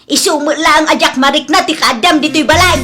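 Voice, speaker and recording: A woman playing a scolding, sharp-tongued, fed-up mother-in-law in a comedy drama, with an Ilocano accent. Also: high-pitched, brisk, over music, lightly acted. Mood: angry scolding. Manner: scolding, exasperated.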